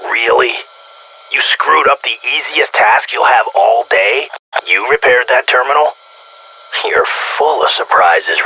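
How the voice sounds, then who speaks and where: Conceited male weapons scientist, American accent, very husky, low-pitched, sarcastic, condescending, video game character, radio-filtered. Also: heavily acted. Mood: sarcastic scolding. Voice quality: raspy, gravelly. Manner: condescending, sarcastic, self-congratulatory. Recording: dull, band-limited radio-comms sound.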